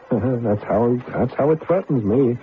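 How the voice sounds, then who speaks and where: A man's voice: mid-range voice, slow pace, close to an everyday voice. A man playing an anxious computer scientist in an old-time radio drama, hesitant and stammering, often placating. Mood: flustered. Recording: tinny, noisy old radio broadcast.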